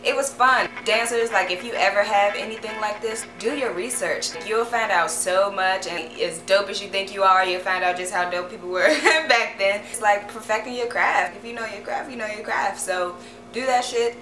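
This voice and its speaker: A young American woman chatting casually to camera in a slangy, enthusiastic, expressive way. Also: mid-range voice, normal speed. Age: young adult. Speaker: choreographer. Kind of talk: casual vlog talk to camera. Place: small room indoors. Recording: roomy sound with loud music behind. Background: loud music.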